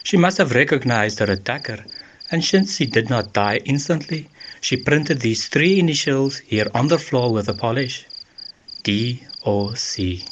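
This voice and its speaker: A man playing a calm, formal police inspector in an amateur radio murder mystery, speaking in a measured, deliberate way. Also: medium pitch, close to an everyday voice. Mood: probing, formal. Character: calm, methodical male police inspector questioning murder suspects. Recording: noisy amateur voice-message recording with clicks.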